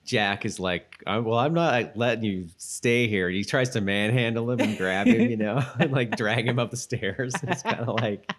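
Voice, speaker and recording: A man co-hosting a casual podcast chat, rambling and halting as he thinks aloud. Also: medium pitch, expressive. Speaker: podcast co-host.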